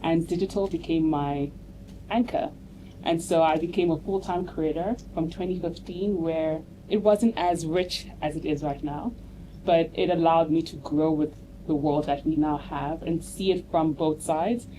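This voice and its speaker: A woman from Nigeria introducing herself on a panel as a content creator, telling her story in a relaxed, personal way. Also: low-pitched, unhurried, poor audio. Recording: clear background noise on a live panel mic.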